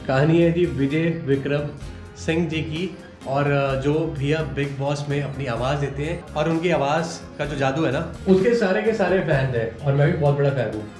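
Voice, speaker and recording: Effusive high-pitched man in an echoey room. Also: darkish tone. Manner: effusive, colloquial. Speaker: vlogger and interviewer. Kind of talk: casual chatty vlog hosting. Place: at home, on a video call. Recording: echoey room, dull noisy sound.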